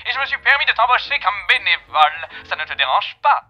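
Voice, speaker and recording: A man's voice: high voice, very animated, fairly quick, lo-fi sound, soft background music. A man voicing a suave, theatrical male demon who talks in mock-courteous, grandiose phrases, through an old radio filter. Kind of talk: fan dub of an animated series.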